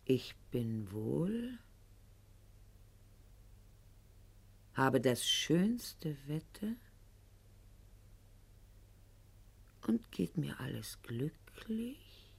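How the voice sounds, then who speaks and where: Haughty noblewoman, slow and sweeping. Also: deep voice, sing-song, gravelly, husky, heavily acted. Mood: subdued, brittle. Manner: formal, haughty, measured, eloquent diction. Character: proud, calculating 18th-century noblewoman addressing her husband. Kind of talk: theatrical radio drama monologue.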